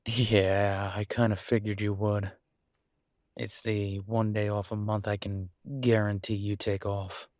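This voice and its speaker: A man's voice: lowish voice, flat delivery, takes it slowly, a little mellow, dry recording, studio quality. A man playing an easygoing, supportive boyfriend in an intimate one-sided roleplay audio, talking casually and reassuringly with folksy turns of phrase. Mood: affectionate, casual.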